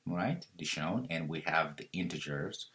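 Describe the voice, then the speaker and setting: A man in his thirties with a very low, deep voice that still has a bright, forceful edge, walking through code in a screen-recorded tutorial. He explains in a relaxed, thinking-aloud way, with fillers and quick self-corrections, and a keyboard clacks now and then behind him. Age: 30s. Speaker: programming instructor.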